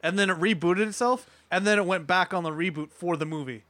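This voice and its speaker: A man's voice: high voice, a little fast, a bit bright, no echo. A man talking casually as a panelist in a podcast discussion.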